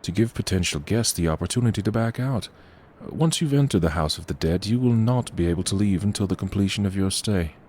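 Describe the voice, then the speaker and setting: An actor playing a grave, formal representative of a haunted inn in an audio horror drama, speaking in a measured, ominous way. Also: male voice, fairly low pitch, expressive, darkish tone.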